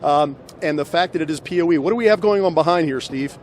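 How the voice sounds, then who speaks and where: A man's voice: fairly high pitch, fast talker, darkish tone. A middle-aged man presenting products conversationally into a handheld microphone in a trade show hall. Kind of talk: promotional product demonstration, conversational. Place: large busy trade show hall, indoors. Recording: clear background noise of exhibition hall.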